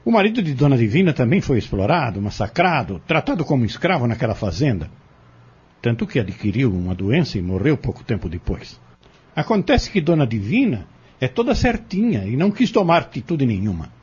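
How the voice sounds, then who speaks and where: An actor playing a cunning, vengeful defendant giving deliberate, defiant testimony in an audio drama. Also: male voice, mid-range voice, very animated, darkish tone, breathy edge. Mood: cold, calculating. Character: cunning vengeful male defendant confessing in court. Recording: dull lo-fi audio.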